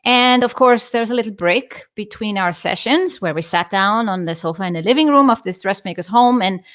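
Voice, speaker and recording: A woman's voice: medium pitch, animated, normal speed, fairly bright tone. Icelandic woman telling her story, slightly dull audio. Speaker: interview guest, business coach. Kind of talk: personal storytelling in an interview.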